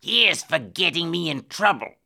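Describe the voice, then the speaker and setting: Palace guard for a storybook, acted by a man: mocking bully, snarling, gravelly, animated. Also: fairly high pitch, normal speed, voice pushed up, exaggerated voice. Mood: angry. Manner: snarling, sneering.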